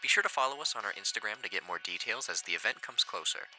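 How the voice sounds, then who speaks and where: A man hosting a video review, narrating scripted commentary in an expressive, conversational presenter's manner. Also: lowish voice, quick pace, soft background music, rough recording. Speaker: YouTube host, horror series reviewer.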